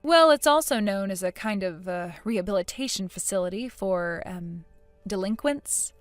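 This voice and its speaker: A woman's voice: mid-range voice, expressive, normal speed, no room sound, soft background music, lightly acted. A woman voicing a blunt, judgmental, scornful woman in story dialogue, smug and needling in a matter-of-fact way.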